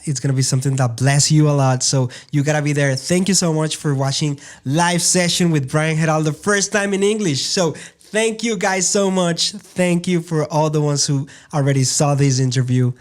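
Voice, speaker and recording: A man with a Dominican Spanish accent, hosting a casual interview in English, upbeat and halting with fillers. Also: on the high side. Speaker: interview host.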